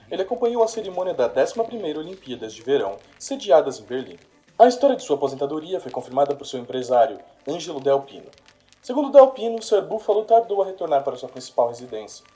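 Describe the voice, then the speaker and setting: Male actor as 1930s radio newsreader, Brazilian accent, formal and declamatory, mellow tone, swift delivery. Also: on the high side. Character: male radio news announcer reporting a boxer's retirement. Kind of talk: 1930s radio news bulletin, scripted.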